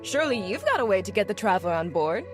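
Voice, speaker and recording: A woman's voice: mid-range voice, sing-song, fast, close to an everyday voice. An actress playing an eager, admiring young female sailor in a video game crew, with a chummy manner. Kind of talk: scripted game dialogue. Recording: game audio with music behind.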